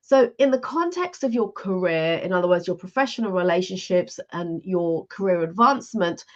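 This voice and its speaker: A woman's voice: lowish voice, animated, normal speed, dull audio. Upbeat woman coach with a stuffy cold. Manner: upbeat, structured, speaks straight to viewers. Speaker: leadership and career coach, live show host. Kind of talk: live-streamed coaching talk to camera.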